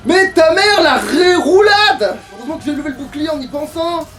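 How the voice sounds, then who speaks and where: A man's voice: extremely high pitch, expressive, moderate pace, fairly bright tone, distant mic. A man giving casual gaming commentary to a co-player, foul-mouthed and grumbling. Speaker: let's player.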